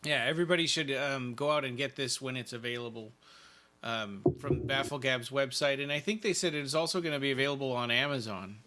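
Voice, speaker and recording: A man co-hosting a podcast, talking casually through a review in a hesitant, measured way. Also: mid-range voice, sharp tone, a little hiss or hum. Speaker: podcast co-host.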